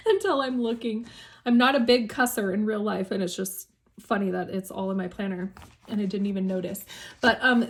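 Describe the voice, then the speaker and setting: A woman with a slightly hoarse voice chatting enthusiastically as she shows her planner on camera, laughing now and then. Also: medium pitch. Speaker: planner YouTuber. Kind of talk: casual planner flip-through.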